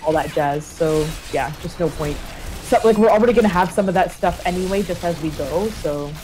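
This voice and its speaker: A woman's voice: lowish voice, a little fast, warm tone, slight background noise, low-quality recording. A woman hosting a game stream, chatting casually with friends and laughing often. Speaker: streamer host. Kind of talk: casual gaming chat. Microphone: push-to-talk voice chat.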